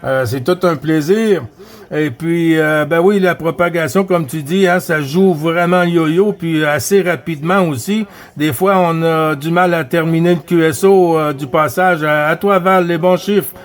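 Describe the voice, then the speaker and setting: High-pitched Quebec man on CB radio. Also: unhurried. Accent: Quebec French. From Quebec, Canada. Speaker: radio amateur operator running a contact session. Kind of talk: CB radio contact chat.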